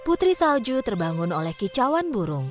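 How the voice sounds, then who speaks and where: Animated high-voiced woman storyteller. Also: normal speed, over music. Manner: expressive storytelling. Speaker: narrator. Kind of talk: scripted children's story narration.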